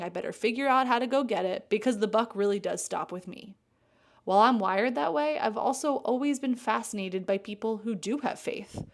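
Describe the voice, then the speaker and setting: Frank, casual woman host. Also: mid-range voice. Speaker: podcast host. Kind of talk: casual conversational interview.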